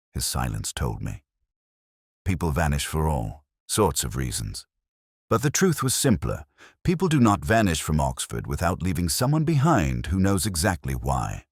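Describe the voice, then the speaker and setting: An extremely low-pitched man reads literary detective fiction as a first-person narrator. His delivery is measured and formal, with a solemn manner and plenty of rise and fall in the pitch. The sound is dry and close. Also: breathy edge, dry recording. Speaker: narrator of a detective mystery story.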